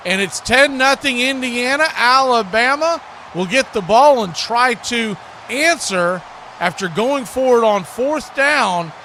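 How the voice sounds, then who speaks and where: A man with a high voice delivers live football commentary as a streaming host. His manner is excitable and conversational, moving between calling plays and chatting. Clear background noise runs behind him. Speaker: sports play-by-play host.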